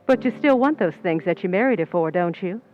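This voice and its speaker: A woman's voice: lowish voice, animated, a little fast, muffled recording, faint music behind, close to an everyday voice. A woman playing a cool, ambitious, scheming young Hollywood stand-in in an old-time radio suspense play, with hard-boiled, knowing delivery. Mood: guarded, coaxing. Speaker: actress in a community playhouse radio play. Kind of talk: old-time radio suspense acting with first-person narration.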